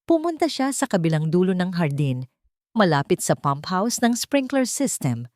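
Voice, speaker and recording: A woman narrates a dramatic audio story in a low-pitched voice. Her phrasing is deliberate and suspenseful, and the recording is close and dry. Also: very animated, a little mellow, dry recording.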